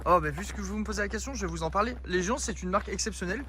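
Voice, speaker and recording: Bright-voiced, high young man streaming outdoors. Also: racing, slight background noise. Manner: joking, teasing, talks to chat. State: a bit out of breath. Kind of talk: casual IRL stream chat. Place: outdoors in a town street.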